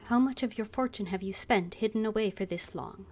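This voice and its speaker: A woman's voice: mid-range voice, fairly quick, a little mellow, natural voice. Woman reading as an urgent fantasy messenger. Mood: urgent pleading. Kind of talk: dramatic reading of fantasy game text.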